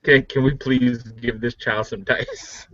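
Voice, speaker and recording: A man's voice: mid-range voice, fairly even intonation, slow, fairly bright tone, dull audio, a little hiss or hum. A man taking part in a tabletop roleplaying game as a player, talking casually. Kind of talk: casual chat during a game.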